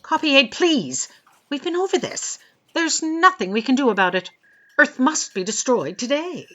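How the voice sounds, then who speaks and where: A woman's voice: high voice, very animated, moderate pace, fairly bright tone, dull audio, faint music behind. A woman playing an imperious female boss, pompous and dryly authoritative, in a comic sci-fi audio drama. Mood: stern, condescending. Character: brisk, imperious female boss, blithe about doom.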